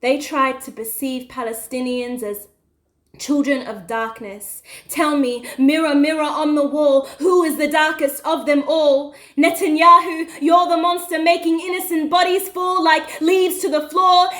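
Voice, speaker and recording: A woman performing spoken word poetry in an impassioned, accusatory manner with deliberate, dramatic delivery. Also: high-pitched, fairly even intonation, fairly bright tone. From Western Australia.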